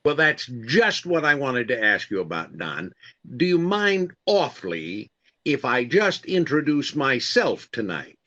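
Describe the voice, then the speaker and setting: A man plays a self-important star of 1940s radio comedy. His voice is bright and very animated, with a slightly dull recording. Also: medium pitch, measured pace, exaggerated voice. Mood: vain, wheedling. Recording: slightly dull, band-limited sound.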